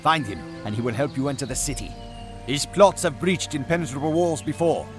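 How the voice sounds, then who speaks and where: An actor playing a grave, weary warrior in a video game, speaking in an earnest, declamatory way. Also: male voice, on the high side, animated, a little fast, lightly acted. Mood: grave, urgent. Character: grave, weary warrior shade rallying a hero. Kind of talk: scripted video game acting. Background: music.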